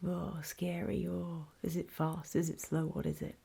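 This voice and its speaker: A woman playing a nervous, apologetic apocalypse survivor in a horror ASMR roleplay, in a soft, breathy whisper with a halting, self-conscious delivery. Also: low voice, level tone, exaggerated voice. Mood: uneasy, earnest. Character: nervous, apologetic female zombie-apocalypse survivor recording a warning.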